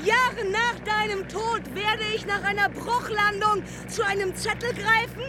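A fatalistic woman aviator speaks in a poetic radio drama. Her voice is extremely high, and she declaims in solemn pronouncements. Very loud outdoor, vehicle-like noise surrounds her. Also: steady tone, measured pace. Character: fatalistic doomed woman aviator foretelling deaths.

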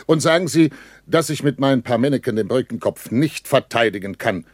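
A man's voice: mid-range voice, animated, normal speed, no echo, lightly acted. A man acting a sceptical army captain in a 1960s radio drama. He gives orders curtly and with dry, resigned irony, in a studio sound with very little room. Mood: urgent, commanding. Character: weary, sceptical army captain commanding a bridgehead.

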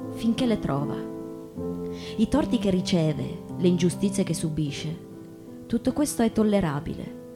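Woman giving a measured dramatic literary reading. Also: on the low side. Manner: measured, deliberate phrasing with pauses. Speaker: stage reader. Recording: acoustic guitar music behind the voice. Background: plucked guitar music.

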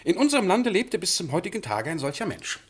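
Radio play casting: imperious petty monarch, higher-than-average male voice, very varied intonation, formal and declamatory. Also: big pitch swings, quick pace, husky, exaggerated voice. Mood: indignant, pompous. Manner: formal, declamatory speech to his ministers. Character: pompous, peremptory male sovereign of a tiny principality. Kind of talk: satirical radio drama acting.

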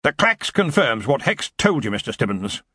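A man's voice: very animated, moderate pace, bright, forceful tone, slightly rough, dry recording, clean studio sound, heavily acted. A man voicing an old, imperious university wizard in an audiobook, with a weighty, commanding manner. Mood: sad, wistful. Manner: booming, imperious. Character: old blustering male wizard, head of a university.

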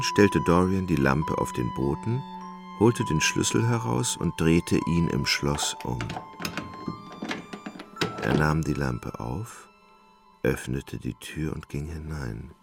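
Deep, gravelly male radio-play narrator. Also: lively intonation. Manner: deliberate, suspenseful storytelling. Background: music.

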